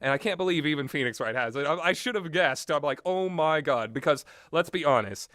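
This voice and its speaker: A man's voice: fairly high pitch, animated, fairly quick, a bit bright, dry recording, clean studio sound. A man giving casual, rambling commentary in a blunt, opinionated way, swearing freely as he goes. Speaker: solo commentator on visual novels.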